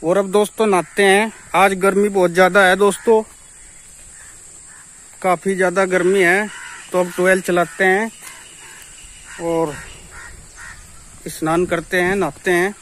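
A young man, talking in a slightly high voice as he walks through farmland. He chats easily and keeps turning to his viewers as friends. His camera mic picks up faint rural sounds behind him outdoors. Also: little pitch movement, unhurried, long pauses, a bit bright, a little hiss or hum. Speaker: village vlogger. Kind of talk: casual walking tour vlog.

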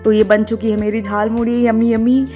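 A woman's voice: fairly high pitch, level tone, fairly quick, dark tone. Chatty young woman vlogging over music. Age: 20s. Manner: chatty, informal, addressing viewers. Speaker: travel vlogger. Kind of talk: casual vlog narration. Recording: music under the voice. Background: background music.

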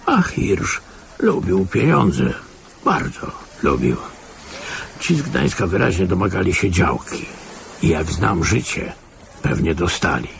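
Casting: weary old retired officer, cynical and sardonic, very low gravelly voice, radio drama. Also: male voice, big pitch swings, slow, slight background noise, exaggerated voice. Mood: wry. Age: older. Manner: sardonic, patronising, chuckling asides. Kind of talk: Polish radio play acting.

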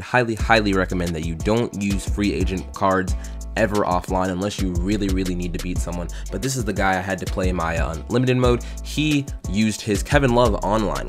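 A young man giving casual voice-over commentary on his game footage, rambling with lots of fillers and restarts. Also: low-pitched. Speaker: gaming YouTuber.

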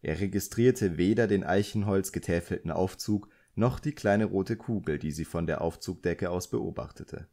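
A man with a low-pitched voice narrates an audiobook. He reads scripted literary prose as a storyteller. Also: a little mellow.

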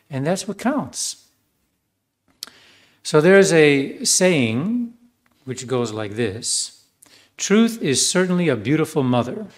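A priest giving a sermon, telling a story in a conversational way with many fillers and ironic asides. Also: male voice, mid-range voice.

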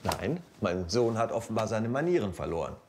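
An actor playing a stiff, fussy, easily flustered count in a children's audio drama. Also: male voice, lowish voice, big pitch swings, brisk, background noise. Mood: formal, put out.

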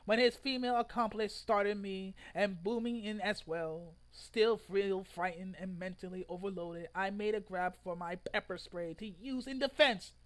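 A man's voice: high-pitched, moderate pace, few pauses. A man in his twenties giving an exaggerated, theatrical dramatic reading of a written post at home. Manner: theatrical, exaggerated delivery with sudden loud outbursts. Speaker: online video creator. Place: room at home.

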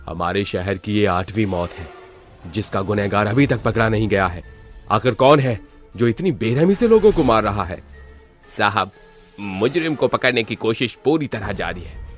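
Man playing a low-voiced grave policeman. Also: lively intonation, normal speed, a bit bright, faint music behind. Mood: grave, official. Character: male police officers discussing a serial murder case.

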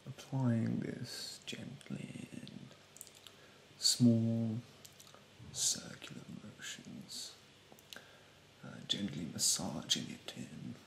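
Snobbish male skincare therapist, acted by a young man, ASMR roleplay. Half-whispered, very gravelly, condescending politeness with swooping intonation. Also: mid-range voice, big pitch swings, drawn-out words, long pauses, fairly bright tone, roomy sound, heavily acted. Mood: fussy, instructive. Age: young adult. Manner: hesitant, condescending, haughtily polite. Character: rude, snobbish, fastidious male facial-treatment therapist. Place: bedroom, indoors. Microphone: close mic in front.

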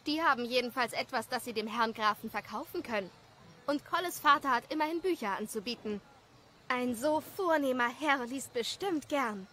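A man as a high-voiced young villager. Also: lively intonation, normal speed, bright, forceful tone, slight background noise, heavily acted. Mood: wistful. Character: wistful poor young villager dreaming of riches.

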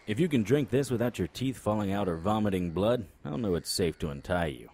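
An actor playing a cocky, unflappable supernatural private investigator in an audio drama, full of wry, flippant banter. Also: male voice, fairly low pitch, animated, brisk, darkish tone. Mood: brisk, cocky.

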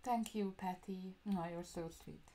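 A woman's voice: on the low side, unhurried, warm tone. A woman with a German accent and German as her first language, chatting casually with viewers while coloring on a live stream, laughing often and dropping to whispers when she concentrates. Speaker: coloring livestream host. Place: indoors at a drawing desk.

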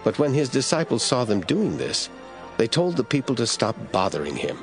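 A man narrating scripture from a script in a measured, formal storytelling manner. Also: medium pitch, sing-song, over music.

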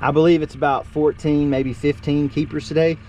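This is a man, filming himself on a small boat outdoors. He chats casually to his viewers and gets loud and excited when a fish strikes. The camera sits on the boat, so there is faint outdoor noise behind him. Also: on the high side, normal speed, muffled recording. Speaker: fishing vlogger. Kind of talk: casual fishing vlog talk.

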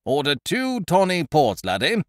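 A man playing a booming, blustering schemer. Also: wildly swooping pitch, a little slow, no echo, studio quality. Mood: expansive. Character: loud, hearty, scheming young male gentleman adventurer.

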